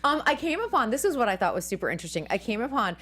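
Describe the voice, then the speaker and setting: A woman's voice: a little high, big pitch swings, very fast, a bit bright. A woman from the Boston area hosting a casual interview, chatty, sharing her own stories and laughing often. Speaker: interview host.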